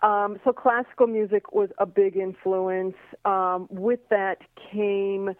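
A woman's voice: medium pitch, flat delivery, slow, no room sound. A woman whose first language is Slovak chats as an interview guest over a tinny phone line. She rambles through her answers with fillers and restarts. The line sounds dry, with no room around her. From Queens, New York. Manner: chatty, many fillers and restarts. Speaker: singer and Broadway performer, guest. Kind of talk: casual interview chat.

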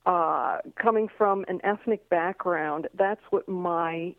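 A woman's voice: lowish voice, slow, no room sound. A woman from Queens, New York, whose first language is Slovak, chatting as a guest in an interview, talking freely with many fillers and restarts. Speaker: singer and Broadway performer, guest. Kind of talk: casual interview chat. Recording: tinny phone line.

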